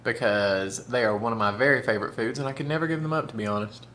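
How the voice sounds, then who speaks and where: A man's voice: medium pitch, fast, pushed and bright, a little hiss or hum. Chatty man eating on camera. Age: 30s. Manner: chatty, camp, says "y'all" and "honey"; talks with mouth full. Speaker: mukbang vlogger. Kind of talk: casual chat while eating.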